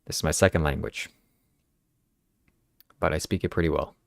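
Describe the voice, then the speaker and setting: Deep-voiced man with Ukrainian roots, earnest. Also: steady tone, moderate pace, gravelly, no room sound, studio quality. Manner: earnest, reflective, reads viewer comments aloud. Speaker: podcast host. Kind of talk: casual livestream podcast chat.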